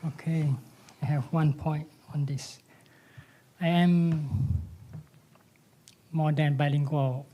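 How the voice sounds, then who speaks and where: Slow, halting man. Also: a little high, steady tone, dark tone. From Thailand. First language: Malay. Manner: halting, broken English with many restarts. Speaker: panelist writer. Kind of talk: panel discussion.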